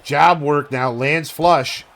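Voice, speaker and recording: A man giving play-by-play commentary on video game fights, calling each strike quickly and casually. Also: a little high, fairly even intonation, fairly bright tone. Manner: rapid blow-by-blow calling.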